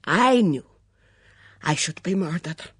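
A suspicious foreign cook , as a reader puts her on, speaks in a thick accent, self-pitying and melodramatic. Her pitch leaps up when she protests. The sound is dry and close. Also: expressive, moderate pace, no room sound. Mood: sulky. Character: sullen foreign woman cook, dramatic, suspicious.

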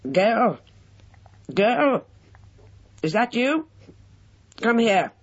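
A male narrator playing a gleeful, spiteful bedridden old man who crows and laughs in a high whinny, on a dull lo-fi recording. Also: very animated, drawn-out words, breathy edge, exaggerated voice. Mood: calling. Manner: high whinnying cackle, grumbling.

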